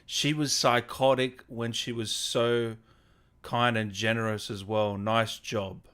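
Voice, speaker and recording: Man, interview host, medium pitch, informal, enthusiastic, casual chat and read-out questions. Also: little pitch movement, slow, a bit bright, clean tone. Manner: informal, mildly sweary, enthusiastic.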